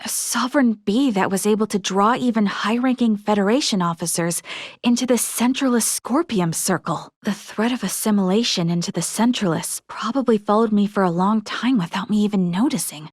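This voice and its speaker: A woman's voice: medium pitch, normal speed, no echo, studio quality, lightly acted. A woman playing an earnest young female military officer in a space video game, speaking formally and articulately with resolve. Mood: troubled, pensive. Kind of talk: scripted video game character dialogue.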